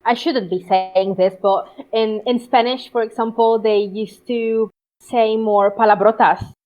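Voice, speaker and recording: A woman with an Italian accent, a translator being interviewed, talking in halting bursts with many restarts. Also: on the high side, steady tone, warm tone. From Italy. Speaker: guest translator. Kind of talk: interview over a call. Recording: dull lo-fi call audio.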